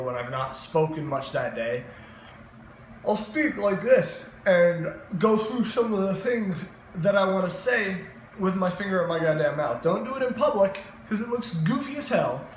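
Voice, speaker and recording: A young man vlogging voice advice in a casual, very expressive way, with many fillers. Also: a little hiss or hum. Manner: very expressive, swings in pitch and loudness, many fillers. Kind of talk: casual advice monologue to camera. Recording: echoey room.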